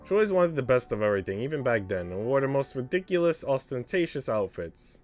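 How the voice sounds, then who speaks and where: A haughty, doting young mistress from a visual novel, acted out by a man, speaks in arch, theatrical phrases. The voice swings expressively in pitch, with music underneath. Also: sing-song, quick pace, a little mellow, close to an everyday voice. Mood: irritated.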